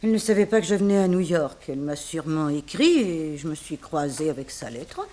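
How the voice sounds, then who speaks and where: A woman's voice: low voice, big pitch swings, fairly quick, dark tone. A woman playing an indignant, theatrical widow in a French detective radio drama, protesting melodramatically. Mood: defensive. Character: indignant, theatrical wife of a murdered man.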